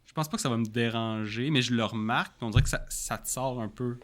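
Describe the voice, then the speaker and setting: A man's voice: medium pitch, fast talker, fairly bright tone. Quebec french guy co-hosting a book podcast, mid voice, rambles casually. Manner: loose, rambling, colloquial. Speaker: podcast co-host. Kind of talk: casual chat about books.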